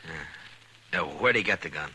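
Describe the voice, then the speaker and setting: An actor playing a steady, laconic frontier marshal with dry humour and a husky voice in a 1950s radio Western. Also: male voice, very deep voice, unhurried, a little hiss or hum, full character voice, poor audio. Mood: dry, questioning. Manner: laconic, dryly teasing.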